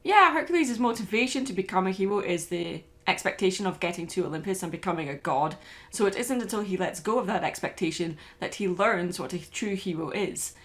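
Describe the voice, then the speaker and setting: A woman's voice: mid-range voice, expressive, fairly quick, a bit bright. A woman hosting and narrating a scripted video essay.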